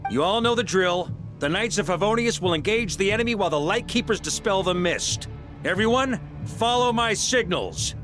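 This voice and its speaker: Bold knight commander, higher-pitched, animated delivery, easygoing, video game, music behind. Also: male voice, moderate pace. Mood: commanding. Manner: rallying, informal, bold. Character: hearty, commanding knight grandmaster, easygoing but rousing.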